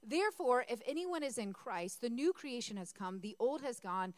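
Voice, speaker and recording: A woman preaching with a hoarse, worn voice that sits high and swings widely in pitch. She talks to her congregation in a chatty way and asks them to respond. The sound is close and dry. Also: animated, moderate pace, no room sound. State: losing her voice. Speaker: pastor. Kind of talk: sermon.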